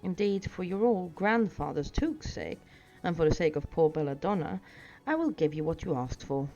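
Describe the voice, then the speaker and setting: A woman's voice: on the low side, animated, normal speed, warm tone. Lady doing a old wizard guy from a storybook, stern n teasing, pointed wordy talk, dull muffled sound. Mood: amused, commanding. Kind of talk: storybook character reading. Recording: dull sound with music behind.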